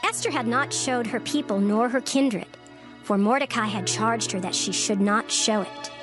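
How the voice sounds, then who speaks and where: Woman narrator, fairly high voice, formal measured storytelling, scripted scripture reading, clear music behind.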